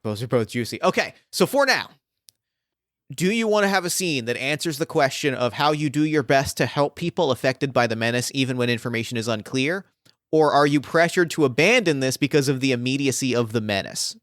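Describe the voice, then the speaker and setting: A man's voice: medium pitch, animated, brisk, a bit bright, no echo. Man running a game, clean and dry. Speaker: game master, podcast host. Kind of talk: improvised tabletop roleplaying narration.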